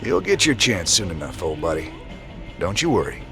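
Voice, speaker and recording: An actor playing an easygoing, gruff rebel commander in a video game, gravelly and drily folksy. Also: male voice, deep voice, huge pitch swings, normal speed, exaggerated voice. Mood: friendly. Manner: folksy, dry, familiar. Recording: loud music behind the voice.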